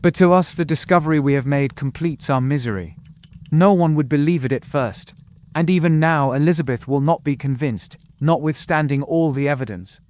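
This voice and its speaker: An actor playing a distressed young brother in a dramatized audiobook, earnest and formal in manner. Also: male voice, on the high side, normal speed, a little mellow, close to an everyday voice.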